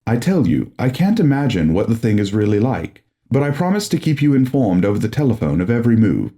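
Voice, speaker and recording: A man reading as coolly commanding occult explorer, mellow. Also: lowish voice, big pitch swings, a little fast. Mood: cool, insistent. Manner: unperturbed, formal, earnest. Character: calm, coolly commanding male occult explorer, condescending yet courteous. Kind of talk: audiobook character dialogue, gothic horror.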